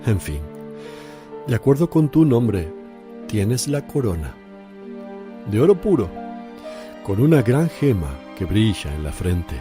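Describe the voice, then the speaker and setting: A man playing Jesus in a radio drama, speaking gently and solemnly as a teacher and preacher. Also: lowish voice, big pitch swings, slow pace, pauses often, over music. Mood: solemn blessing.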